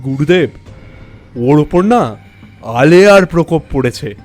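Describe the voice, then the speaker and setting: Man acting an anxious, high-pitched young devotee. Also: lively intonation, measured pace, darkish tone, soft background music. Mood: worried, deferential. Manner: earnest, urgent. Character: anxious, deferential young man pleading with a guru. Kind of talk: audio drama acting.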